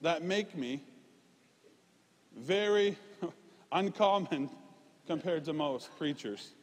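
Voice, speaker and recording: A man preaching a conversational, informal sermon, emphatic at points and dropping into quieter, self-deprecating asides. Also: fairly high pitch, animated, takes it slowly, slightly rough. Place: large reverberant room, preaching live. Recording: reverberant hall sound.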